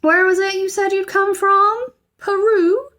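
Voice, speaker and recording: A woman's voice: very high voice, steady tone, unhurried, bright, forceful tone, voice pushed up, exaggerated voice. A woman voicing a fierce, grumbling older housekeeper from a children's storybook, blunt, fussy and full of exclamations. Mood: brisk, sharp.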